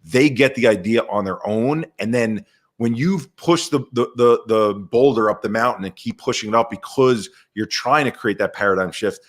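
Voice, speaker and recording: A man talking as a podcast guest in an animated, energetic way over a video call. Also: medium pitch, moderate pace. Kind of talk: casual conversation.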